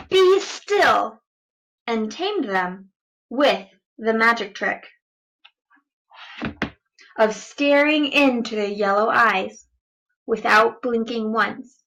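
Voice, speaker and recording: A woman reading a picture book aloud as a teacher to children, deliberate and expressive, stressing words for young listeners. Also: medium pitch, rough recording.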